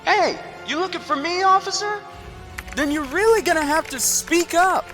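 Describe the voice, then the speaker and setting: A man's voice: very high-pitched, expressive, moderate pace, pushed and bright, soft background music, exaggerated voice. A man playing a cheeky, taunting teenage boy sidekick in a motion comic.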